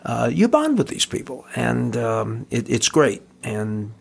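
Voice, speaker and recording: A man's voice: medium pitch, sing-song, takes it slowly, vocal fry, slightly rough. An older man, an amateur actor, reminiscing casually as a guest in an interview.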